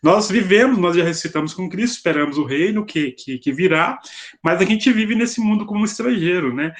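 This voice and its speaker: Higher-voiced Brazilian man teaching the Bible. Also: expressive, fairly quick. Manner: didactic, conversational, often seeking agreement with tag questions. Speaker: preacher leading a Bible study. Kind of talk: informal expository Bible teaching. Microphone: video call.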